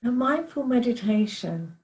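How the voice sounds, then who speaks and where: A woman's voice: fairly high pitch, animated, a little slow, a little mellow, low-quality recording. A woman hosting a podcast interview, chatty and encouraging as she introduces and talks with her guest. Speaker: podcast host.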